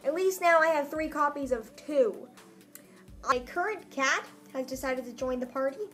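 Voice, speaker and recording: A woman's voice: sits high, normal speed, fairly bright tone, soft background music. A young adult woman reading a story aloud in a comedic, mock-dramatic, self-mocking way with casual asides. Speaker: vlogger reading her own old story aloud.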